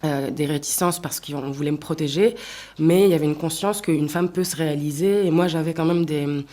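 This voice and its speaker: A woman in her thirties from Tunisia, a singer answering interview questions in a reflective way, pausing often and restarting her sentences. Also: low voice, dark tone. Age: 30s. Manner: thoughtful, many hesitations and restarts. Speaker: singer-songwriter guest.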